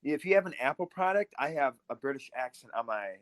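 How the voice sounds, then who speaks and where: A man's voice: medium pitch, animated, a little fast, dry recording. A man co-hosting a podcast, talking with enthusiasm in loose, rambling sentences. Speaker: podcast co-host. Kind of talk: casual interview chat.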